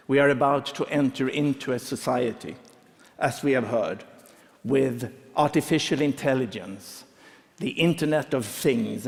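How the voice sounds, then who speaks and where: Man speaking slowly in a large hall. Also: medium pitch, a little mellow. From Sweden. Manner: measured, phrase-by-phrase delivery. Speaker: conference speaker. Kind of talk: prepared talk on stage. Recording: long reverb of a large hall.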